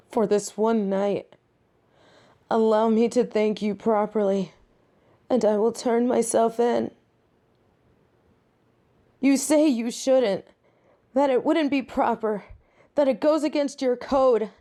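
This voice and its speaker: A woman's voice: on the high side, steady tone, slow pace, pauses often, dark tone. A woman playing a flirty, proud catgirl thief in an intimate audio roleplay, speaking dramatically and emotively to one listener. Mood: earnest, pleading.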